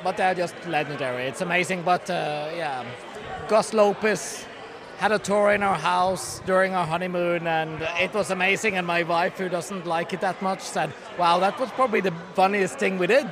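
High-voiced European man in crowded bar. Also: fairly even intonation, moderate pace, crowd noise. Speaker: guest, toy collector. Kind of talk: casual chat. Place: busy hotel bar.

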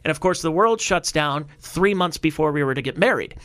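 A man in his thirties with a high, bright voice chats on a podcast, sarcastic and animated, laughing easily. The sound is close and dry. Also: fairly quick, dry recording. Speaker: comedian, podcast co-host. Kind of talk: casual podcast chat.

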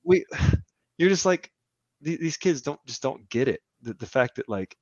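A man's voice: mid-range voice, animated, normal speed, dry recording. A man in his thirties, a podcast guest, talking in a casual, emphatic and rambling way and giving strong opinions. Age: 30s. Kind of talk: casual conversational podcast chat. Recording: dull, dry lo-fi podcast audio.

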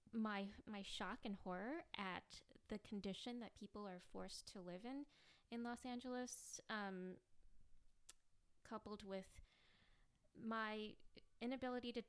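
A young woman from the Chicago suburbs, a guest in a podcast interview, speaking earnestly and reflectively with pauses. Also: on the high side, a bit bright, smooth. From Chicago suburbs, US Midwest. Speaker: campaign manager, guest.

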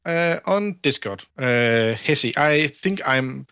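A man from Denmark with a Danish accent, chatting casually as a podcast guest in a halting way, repeating words. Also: mid-range voice, animated, sharp tone, smooth, dry recording, clean studio sound. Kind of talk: casual chat.

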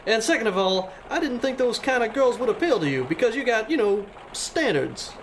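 A man's voice: very high-pitched, sing-song, fairly quick, slight background noise. A man playing a crude, wisecracking young bus driver in a comedic radio play, with a cocky, teasing delivery.

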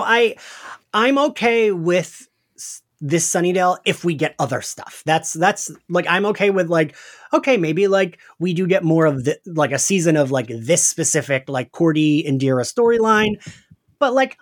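A man's voice: high-pitched, normal speed. A man hosting a casual podcast chat in an agreeable, giggly manner. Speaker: podcast host.

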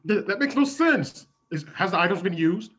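Bright-voiced woman as awkward shop clerk. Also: mid-range voice, expressive, moderate pace, slightly rough, pitched above the performer's own voice. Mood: awkward, exasperated. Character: awkward, exasperated sex shop clerk chasing payment. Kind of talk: improvised comedy sketch.

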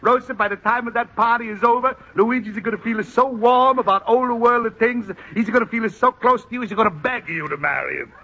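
Scheming, high-pitched Italian immigrant restaurateur. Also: male voice, brisk, few pauses. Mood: gleeful. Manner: broken English, blustering and conniving. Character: scheming, boisterous middle-aged Italian immigrant restaurant owner. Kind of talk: old-time radio sitcom acting. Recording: dull, noisy vintage radio recording.

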